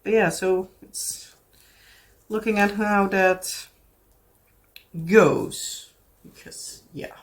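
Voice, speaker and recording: A woman's voice: mid-range voice, steady tone, very slow, pauses often. Chatty Dutch-accented woman vlogger. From Netherlands. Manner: chatty and rambling, many fillers and restarts. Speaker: craft vlogger. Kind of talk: casual chat to camera.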